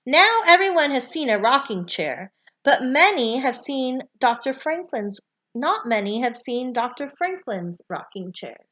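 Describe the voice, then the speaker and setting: A woman with a high voice reads aloud from a children's nonfiction book. Her delivery is that of read-aloud narration for young listeners. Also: very animated, a little slow, fairly bright tone.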